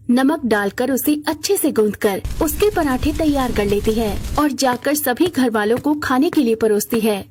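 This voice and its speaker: High-voiced husky woman storyteller. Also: a little mellow. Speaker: story narrator. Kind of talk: scripted moral-story narration.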